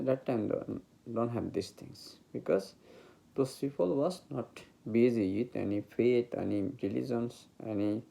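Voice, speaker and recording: A man with a Bengali accent, speaking English as a later language, giving an informal spiritual talk about meditation, halting and searching for words. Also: lowish voice, gravelly, dark tone, poor audio. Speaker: meditation teacher.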